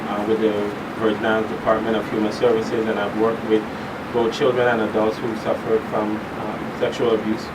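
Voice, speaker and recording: A man's voice: lowish voice, monotone, brisk. A middle-aged man speaking casually as an expert guest counselor in an interview. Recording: loud background noise, distant mic.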